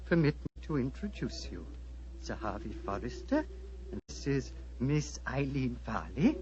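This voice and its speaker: A man's voice: medium pitch, sing-song, a little mellow. An actor playing a grave, courteous old Tibetan abbot with a formal, fatherly manner, in 1940s radio style. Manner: measured, formal, paternal. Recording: muffled, noisy old 1940s radio broadcast.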